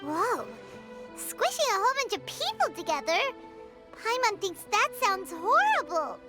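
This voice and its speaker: Tiny fairy companion, very high squeaky voice, swoops wildly, game music behind. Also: female voice, very animated, unhurried, heavily acted. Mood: alarmed. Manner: squeaky, bouncy, refers to herself by name. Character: tiny floating fairy companion, childlike and excitable.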